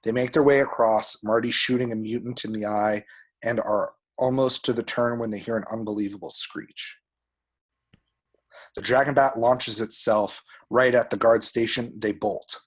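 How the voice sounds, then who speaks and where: A man with a husky voice reads screenplay action descriptions aloud as a narrator. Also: mid-range voice, fairly even intonation, normal speed. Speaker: table-read action narrator.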